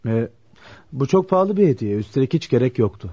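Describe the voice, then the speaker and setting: A brooding, principled young man in a radio play. The tone is dark and husky, with quick, earnest delivery. The recording is muffled. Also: medium pitch, sing-song. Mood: firm, uneasy. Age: young adult. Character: troubled, proud, morally strict young shoe repairman. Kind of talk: Turkish radio theatre acting. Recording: dull lo-fi radio drama recording.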